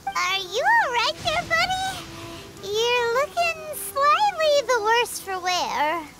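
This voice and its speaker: A woman voicing a tiny, chirpy, curious fairy companion with a squeaky childlike voice in a video game. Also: very animated, slow pace, background noise, full character voice. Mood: concerned. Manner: speaks of self in third person, exclaiming. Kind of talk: cartoonish video game voice acting.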